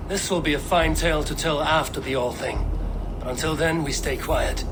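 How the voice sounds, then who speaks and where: An actor playing a loyal, protective Viking warrior in a video game cutscene, speaking in a measured, solemn way. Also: male voice, fairly high pitch, noisy background, close to an everyday voice. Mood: firm, urgent.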